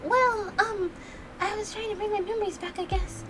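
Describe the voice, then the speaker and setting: A woman's voice: extremely high pitch, steady tone, moderate pace, fairly bright tone, a little hiss or hum, voice pushed up. A woman voicing a timid, polite young woman in a visual novel game, earnest, anxious and hesitant. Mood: flustered. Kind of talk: voiced visual novel game dialogue read aloud.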